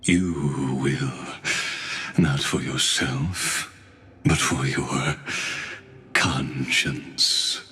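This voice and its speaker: An actor playing a calm, detached dying scholar in a video game, speaking solemnly and wearily, with sighs. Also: male voice, a bass voice, slightly rough, full character voice. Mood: solemn, fading. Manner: solemn, weary, deliberate, sighing. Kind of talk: dramatic video game voice acting.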